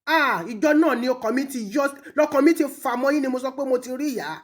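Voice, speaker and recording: High-pitched Nigerian woman delivering a scolding rebuke. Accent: Nigerian Yoruba. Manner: accusatory, scolding, emphatic, with pointed pauses. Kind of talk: impassioned public rebuke, switching between languages.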